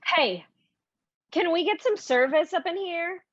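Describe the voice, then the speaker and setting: A woman reading the part of a brash, sassy, impatient young woman in an audition scene, demanding and energetic. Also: very high-pitched, unhurried, highs cut off, voice pushed up, full character voice. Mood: indignant.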